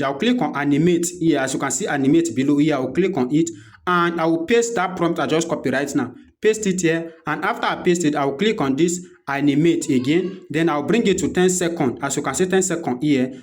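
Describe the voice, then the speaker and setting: A man with a Nigerian accent presenting an unscripted software walkthrough, explaining step by step and repeating his instructions as he goes. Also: a little high, a little fast. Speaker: tutorial presenter.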